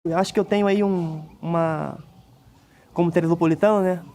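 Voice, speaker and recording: A man's voice: fairly high pitch, fairly even intonation, a little fast, warm tone. A man who worked in the team's kit room, recalling his years in a hesitant way and repeating words as he searches for them. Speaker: former team kit room assistant. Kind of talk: reminiscing documentary interview.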